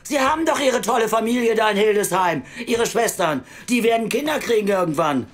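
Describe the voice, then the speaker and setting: Blunt, deep-voiced female welfare official played by an actress. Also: animated, brisk, natural voice. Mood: harsh, impatient. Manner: lecturing, sardonic, blunt. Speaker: actor in audio drama. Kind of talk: naturalistic audio drama acting.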